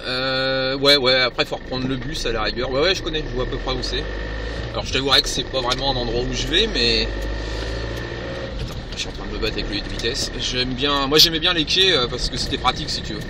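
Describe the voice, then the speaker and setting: A man's voice: mid-range voice, fairly quick. A man with an accent from France, explaining things informally in a casual two-way radio chat. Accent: French, from France. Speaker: amateur radio hobbyist. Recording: distant, loud noise on FM radio contact.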